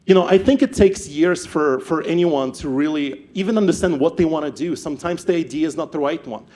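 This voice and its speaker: Young man, Ukrainian accent, fairly high voice, lively stage storyteller who restarts a lot. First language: not English. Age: young adult. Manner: animated, repeats words and restarts. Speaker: startup founder speaker.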